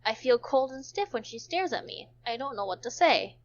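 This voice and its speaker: A woman's voice: sits high, expressive, moderate pace. A woman giving an amateur dramatic reading of a visual novel as narrator, sometimes stumbling over words, on a dull lo-fi recording. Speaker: narrator in a group read-along.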